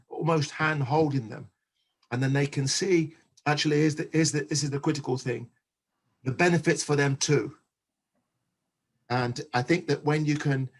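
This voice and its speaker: Husky-voiced man speaking with emphasis. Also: mid-range voice. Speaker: panelist. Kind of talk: impassioned panel discussion.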